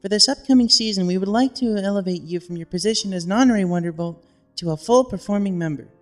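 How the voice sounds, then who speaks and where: A woman's voice: mid-range voice, quick pace, a little mellow, faint music behind, lightly acted. A woman playing a confident Pegasus flight captain, formally reading out a congratulatory letter in a cartoon fan story. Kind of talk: scripted character reading.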